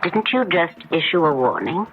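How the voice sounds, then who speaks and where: An actress playing a skeptical, inquisitive radio interviewer in a 1970s radio drama, poised and articulate. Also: female voice, lowish voice, big pitch swings, normal speed, creaky. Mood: poised, probing. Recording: dull, hissy 1970s radio recording.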